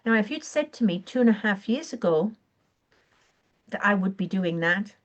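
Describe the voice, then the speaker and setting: A woman coaching on a live stream, her voice croaky and her throat often clearing, talking in an earnest, encouraging way and now and then correcting herself. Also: medium pitch, expressive, normal speed, highs cut off. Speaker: online business coach. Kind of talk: live-streamed training talk.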